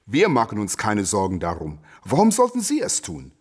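A man's voice: lowish voice, wildly swooping pitch, normal speed, slightly rough, full character voice. A man in a German radio play acting a proud, defiant boxing champion who declaims and argues back with rhetorical questions.

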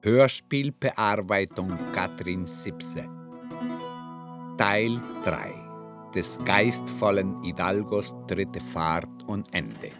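Male radio announcer, formal, very slow, plucked guitar underneath. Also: low-pitched, animated. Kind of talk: formal scripted radio drama credits announcement. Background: plucked guitar music.

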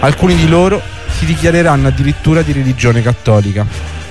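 A man's voice: on the high side, lively intonation, fairly quick. A man hosting and narrating a scripted historical radio programme. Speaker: host and narrator of a radio series. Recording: music under the voice. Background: music.

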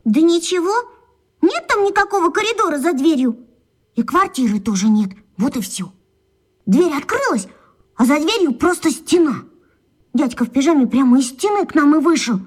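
An excitable schoolgirl. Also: sits high, normal speed. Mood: explaining. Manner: excited, chattering, childlike. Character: lively inquisitive schoolgirl Katya, tenderhearted. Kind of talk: children's radio play acting.

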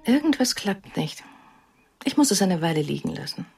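A guarded mother and painter in a radio play. She is terse and withholding, in a medium-pitched voice over faint music. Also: sing-song, normal speed, creaky. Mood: evasive. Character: evasive, guarded painter mother of middle age. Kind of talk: radio drama dialogue. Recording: music under the voice.

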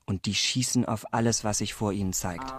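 An actor playing a grave, urgent villager who speaks emphatically in a radio drama. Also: male voice, fairly low pitch, moderate pace, natural voice. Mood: grave warning.

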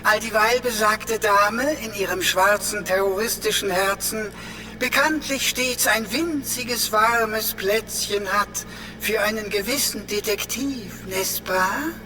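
An actor playing a cocky computer sidekick in a radio drama, pompous and mock-theatrical, with florid phrasing and comic slips of the tongue. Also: male voice, high voice, takes it slowly, background noise. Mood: mock-courtly.

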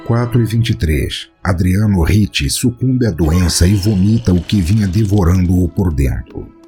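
A man with a Brazilian Portuguese accent narrates a scripted horror story in a measured, solemn, dramatic way. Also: low-pitched, expressive, dark tone, faint music behind. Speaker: podcast narrator.

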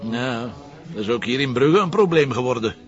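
An actor playing a dogged, wry Flemish police inspector, talking bluntly and colloquially with hesitant fillers in a radio drama. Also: male voice, medium pitch, animated, brisk, dull audio, lightly acted.

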